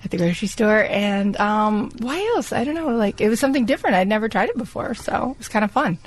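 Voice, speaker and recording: Folksy, rambling woman with mid-pitched voice. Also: lively intonation, fast. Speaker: guest giving a customer testimonial. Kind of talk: casual anecdotal chat.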